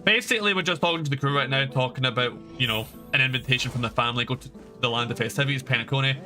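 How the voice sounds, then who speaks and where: A man's voice: medium pitch, very fast, pushed and bright. A man giving casual livestream game commentary in a rambling, informal, emphatic way. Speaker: game streamer. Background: game music.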